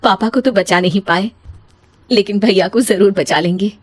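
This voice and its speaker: A woman's voice: mid-range voice, moderate pace, no room sound, lightly acted. A woman voicing a kind, self-sacrificing elder sister-in-law in an emotional cartoon story. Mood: comforting. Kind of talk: melodramatic cartoon story acting.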